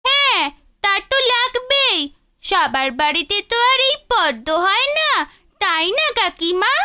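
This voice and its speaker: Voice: extremely high pitch, sing-song, a little slow, sharp tone, dry recording, clean studio sound, full character voice. A performer voicing a spirited, cheeky young village girl in a cartoon fairy tale, eager and pert. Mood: proud, chatty.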